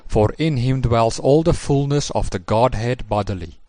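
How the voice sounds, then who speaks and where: A man in a recorded lesson presentation, reading scripture passages in a measured, formal way. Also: mid-range voice, dark tone, dry recording.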